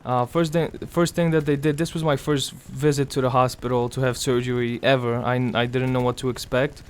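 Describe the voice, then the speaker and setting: A young man speaking quietly and weakly in casual gameplay commentary, his voice raspy and strained after throat surgery, pausing often. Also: mid-range voice, little pitch movement, slight background noise. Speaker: gaming commentator.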